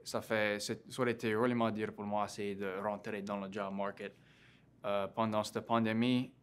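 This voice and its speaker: Soft-spoken young Cajun man, flat delivery. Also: fairly low pitch, clean tone. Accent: Cajun French. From Louisiana. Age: 20s. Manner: halting, many fillers and restarts. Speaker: interview guest, graduate student. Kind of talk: casual interview conversation.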